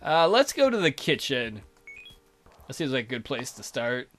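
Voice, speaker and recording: A man hosting a let's play with casual, crude, joking commentary in offhand deadpan asides. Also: medium pitch, moderate pace, a little hiss or hum.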